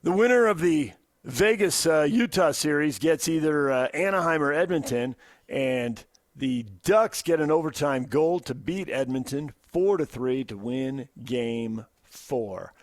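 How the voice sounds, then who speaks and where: A man's voice: a little high, animated, measured pace, dry recording. An American man hosting a conversational sports talk radio show.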